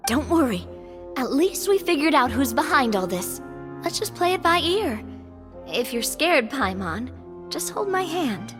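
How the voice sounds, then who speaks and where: A woman's voice: sits high, sing-song, measured pace. An actress playing a bold, upbeat young woman adventurer with a casual, confident way of talking. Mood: confident, reassuring. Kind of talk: scripted game voice acting. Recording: echoey reverb, music behind.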